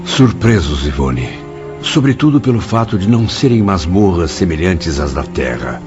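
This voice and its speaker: Melancholy spirit memoirist, low voice, dramatic swooping intonation, literary radio drama narration. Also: male voice, sing-song, normal speed. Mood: reflective. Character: grave, melancholy male spirit writer recounting memories. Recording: dull audio under loud music.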